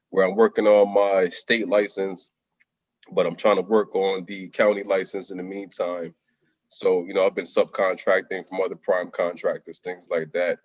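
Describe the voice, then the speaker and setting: A middle-aged man from Jamaica, a contractor guest telling his life story candidly in an interview, soft-spoken with frequent ums and throat clearing. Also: low-pitched, no echo, highs cut off.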